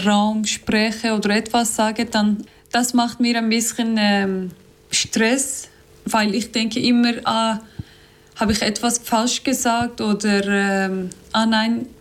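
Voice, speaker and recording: Interviewee: non-native woman, slightly high voice, slow and halting, frequent fillers. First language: not German. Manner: hesitant, many fillers and restarts.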